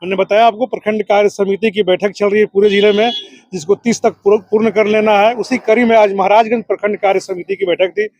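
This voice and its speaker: A man's voice: sits high, flat delivery, fairly quick, a little mellow, dry recording. A man answering as a political activist, oratorical, building his points in repeated phrases and lists. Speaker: political activist, district committee member.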